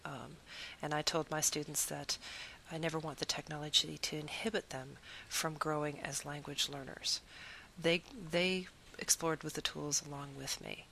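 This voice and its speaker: A woman's voice: sits low, steady tone, normal speed, gravelly, bright, forceful tone, slight background noise, low-quality recording. A woman who teaches Spanish, giving an earnest, reflective talk about her teaching and piling up long lists of phrases.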